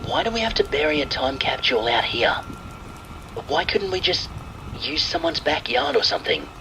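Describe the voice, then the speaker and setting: A man's voice: on the high side, big pitch swings, normal speed, noisy background, pitched above the performer's own voice. A man voicing a frightened fourteen-year-old boy who protests and pleads, in a spooky radio-style story. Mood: nervous whining.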